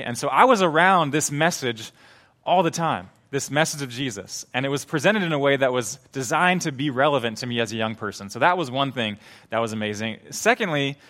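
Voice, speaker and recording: A man's voice: medium pitch, a bit bright. A young man giving a sermon as a pastor, earnest and conversational, with frequent fillers and restarts.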